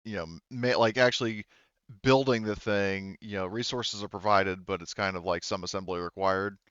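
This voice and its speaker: Clear low-voiced man running a tabletop game. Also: steady tone, fairly bright tone, dry recording, dull audio. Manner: halting, thinking aloud. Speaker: game master. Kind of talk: narrating a tabletop roleplaying game.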